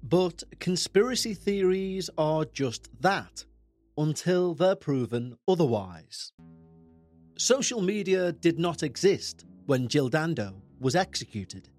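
A man with a Liverpool accent narrates a true-crime podcast in a measured, dramatic way. His voice sits a little high for a man. Faint music plays beneath him. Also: lively intonation, a little mellow. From England. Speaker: podcast host.

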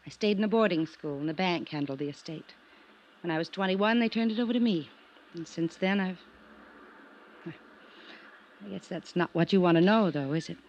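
A woman's voice: lowish voice, normal speed, pauses often, darkish tone, lightly acted. An actress playing a lonely, wistful and guarded young woman in a 1950s radio drama. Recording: noisy, muffled 1950s radio recording.

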